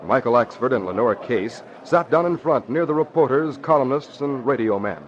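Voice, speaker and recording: A man's voice: medium pitch, animated, normal speed. A man announcing in emphatic, dramatic 1940s radio style, reading scripted narration and ads. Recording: muffled old radio, faint hiss.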